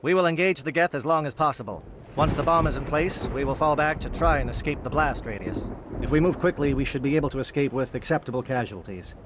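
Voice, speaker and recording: A man's voice: medium pitch, quick pace, noisy background, lightly acted. A man voicing a stoic, dignified alien military commander in a video game, speaking with clipped, formal precision. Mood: businesslike, grave. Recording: clear music behind the voice.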